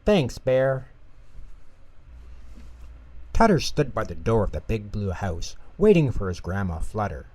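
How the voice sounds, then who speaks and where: A man narrating a children's bedtime story in an expressive, unhurried way. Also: lowish voice, slightly rough.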